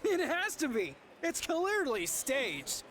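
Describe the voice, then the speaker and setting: A doubtful game townswoman, talks slowly with very lively intonation. She sounds hesitant and colloquial, with faint ambience behind her. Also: high-pitched, a little hiss or hum, full character voice. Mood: doubtful, baffled. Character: bewildered, doubtful townsperson in crowd. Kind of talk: video game voice acting.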